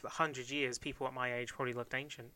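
Twenties guy from kent, podcast cohost, super bright voice, rambly and jokey. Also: medium pitch, little pitch movement, quick pace. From Kent, England. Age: 20s. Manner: joking, rambling, laughs often. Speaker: podcast co-host. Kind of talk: casual chat.

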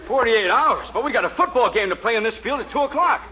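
An actor playing a gruff, wily football coach, raspy and blunt, in a 1940s radio sitcom. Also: male voice, very high voice, lively intonation, quick pace, a little hiss or hum, full character voice. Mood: hearty. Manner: blunt, forceful. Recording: muffled 1940s radio recording.

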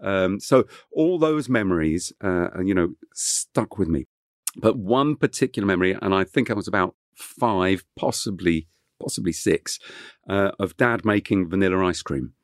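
Man, very low voice, dry close sound, middle-aged, rambling with fillers, first language French. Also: animated, normal speed, no echo. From England (Kent). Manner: rambling, many fillers and restarts. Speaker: chef guest. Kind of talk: relaxed interview storytelling.

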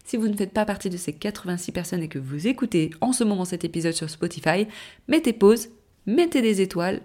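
A woman's voice: medium pitch, animated, brisk, mellow. A woman hosting her own podcast, talking casually on her own and wandering off topic with lots of fillers and self-corrections while she has a cold. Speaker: podcast host. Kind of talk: casual solo podcast talk.

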